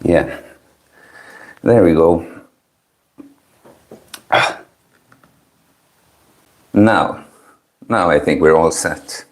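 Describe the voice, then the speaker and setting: A man in his early thirties with a low-pitched voice, talking slowly to the viewers of his livestream. His delivery is chill and low-key, like an easygoing, informal chat. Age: early 30s. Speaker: livestream host. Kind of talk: casual chat with live stream viewers.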